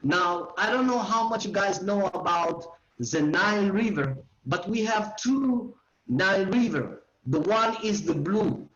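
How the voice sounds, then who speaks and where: High-voiced Israeli man lecturing online. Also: expressive, unhurried, fairly bright tone. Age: 40s. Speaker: educational tour guide giving a lecture. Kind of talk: online lecture, oral history. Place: living room at home. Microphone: video call. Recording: roomy, slightly dull video-call audio.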